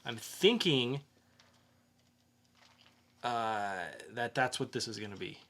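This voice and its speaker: A man co-hosting a podcast, talking casually through entertainment news in a halting, conversational way with pauses. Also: medium pitch, animated, a bit bright. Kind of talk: casual geek news chat.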